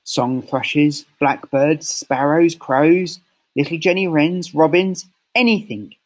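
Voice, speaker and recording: A man reading a children's story aloud with expressive, deliberate phrasing. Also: a little high. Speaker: storybook reader.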